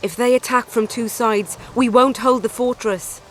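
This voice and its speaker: A woman's voice: on the high side, fairly even intonation, normal speed, slight background noise, lightly acted. A woman playing a composed, decisive young rebel commander in a fantasy video game, speaking firmly. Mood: grave, decisive. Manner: composed, firm, businesslike.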